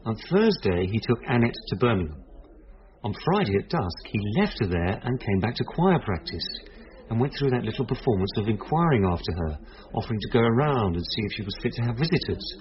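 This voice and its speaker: A calm male police detective in a radio drama. His speech is measured and explanatory, yet the melody moves about freely. The recording is a little dull and muffled. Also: lowish voice. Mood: explaining, serious. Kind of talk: naturalistic radio drama acting.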